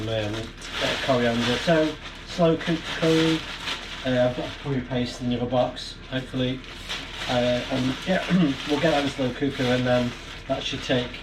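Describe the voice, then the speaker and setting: Male vlogger, casual and rambling, mid-range voice, noisy barn recording. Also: medium pitch. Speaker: amateur radio vlogger. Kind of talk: casual explanatory vlog chat. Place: inside a barn. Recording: clear background noise in barn.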